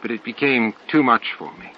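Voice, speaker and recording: A man's voice: mid-range voice, moderate pace, lightly acted. A man voicing a confused, anguished insectoid alien hive master in an audiobook, speaking in a formal, earnest way. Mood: remorseful. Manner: formal, earnest, bewildered speech. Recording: dull lo-fi audio, clear noise.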